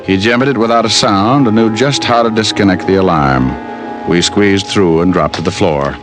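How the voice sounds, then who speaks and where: An actor playing a tough, wry, wisecracking private detective in an old-time radio drama, with clipped hard-boiled delivery. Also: male voice, deep voice, normal speed, close to an everyday voice. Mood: narrating, tense. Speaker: lead actor and narrator. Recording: vintage radio recording with music.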